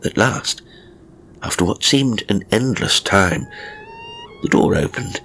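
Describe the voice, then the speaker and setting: A man narrating a literary ghost story in the first person, with measured, dramatic phrasing and deliberate pauses. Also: fairly low pitch, fairly bright tone, a little hiss or hum. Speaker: audiobook narrator. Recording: music behind the voice. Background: music.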